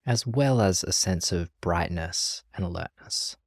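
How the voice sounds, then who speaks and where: A meditation teacher leading a guided practice in a gentle, calm, measured way with long pauses. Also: deep voice, dry recording, clean studio sound.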